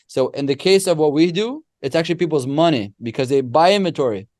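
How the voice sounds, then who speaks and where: A man, a podcast guest who explains ideas through animated, restart-filled stories. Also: fairly high pitch, fast, no room sound, studio quality. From Israel. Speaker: guest, company co-founder. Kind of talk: casual podcast interview.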